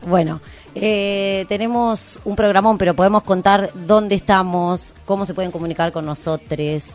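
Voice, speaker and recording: A woman's voice: mid-range voice, steady tone, normal speed. A woman with a Rioplatense Argentine accent hosting a casual radio show, speaking in an informal, slangy way. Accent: Rioplatense Argentine Spanish. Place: community radio studio. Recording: music behind the voice. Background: music.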